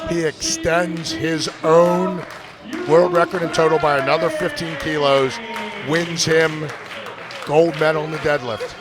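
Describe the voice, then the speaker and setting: A man's voice: a little high, steady tone, unhurried, over music, a crowd in the background. A man doing live sports commentary, blunt and opinionated, often repeating words as he thinks. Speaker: lead commentator.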